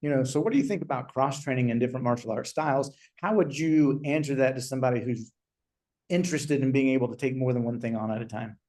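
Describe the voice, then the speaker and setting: A man's voice: mid-range voice, quick pace, dark tone. A middle-aged man hosting a podcast, chatty and self-deprecating, with many fillers. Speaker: podcast host. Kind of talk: casual interview talk.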